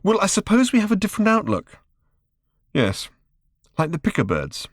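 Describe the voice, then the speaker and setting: A man voicing an exasperated middle-aged man who bursts into shrill, sarcastic rants. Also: huge pitch swings, moderate pace, no room sound, studio quality, exaggerated voice. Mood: hesitant.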